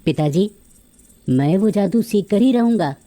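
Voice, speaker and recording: Man playing an earnest, bright-voiced determined lad. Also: high voice, animated, a little slow. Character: determined young minister's son, earnest and alarmed. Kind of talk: cartoon fairy tale dialogue.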